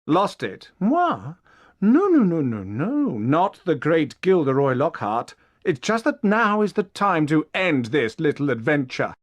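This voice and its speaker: An actor playing a vain, pompous and cowardly wizard professor in a video game, with a theatrical manner. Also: male voice, fairly high pitch, sing-song, a little slow. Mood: grandly theatrical.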